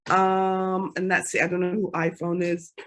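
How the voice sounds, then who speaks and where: A woman radio host opening the show with blessings, warm greetings and shout-outs to listeners. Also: lowish voice, completely flat delivery, normal speed. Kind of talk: lively show introduction.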